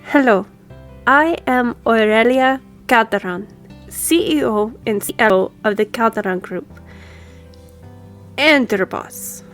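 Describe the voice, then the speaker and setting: A woman's voice: on the high side, slow pace, long pauses, fairly bright tone, faint music behind. A woman playing a self-assured, friendly law firm boss in an audio roleplay, talking to the listener in a composed, personable way. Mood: friendly, explanatory.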